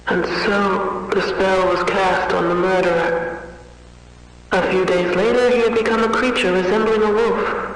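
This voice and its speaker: A woman's voice: mid-range voice, steady tone, close to an everyday voice. Woman as a cold witch in heavy echo. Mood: ominous storytelling. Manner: measured, emotionless, ominous. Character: cold, bargaining witch telling a dark fairytale. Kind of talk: analog horror storytelling. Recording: very echoey, distant, faintly noisy reverb.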